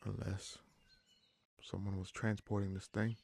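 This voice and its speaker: A man playing a kindly but gruff wounded bandit leader in an audio roleplay, speaking to the listener in halting, musing phrases. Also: fairly low pitch, level tone, a little mellow. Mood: thoughtful, suspicious.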